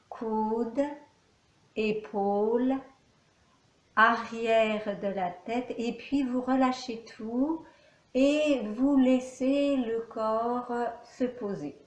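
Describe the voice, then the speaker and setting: Very slow-paced woman guiding meditation. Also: fairly high pitch. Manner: measured, instructing in short phrases with pauses. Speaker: yoga nidra teacher. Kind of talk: guided meditation, calm instruction. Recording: echoey, reverberant room.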